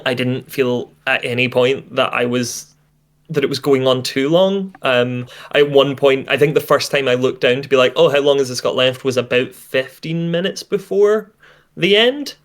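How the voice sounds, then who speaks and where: A man's voice: mid-range voice, fairly bright tone. A man co-hosting a casual discussion, giving his impressions in a thoughtful, meandering way and often rephrasing mid-sentence. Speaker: co-host of an audio drama discussion stream. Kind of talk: casual chat, reviewing an audio drama.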